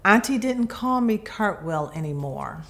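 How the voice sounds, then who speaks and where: A woman reading a children's picture book aloud, expressively and with deliberate pauses between phrases. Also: medium pitch, animated, a bit bright. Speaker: volunteer storybook reader.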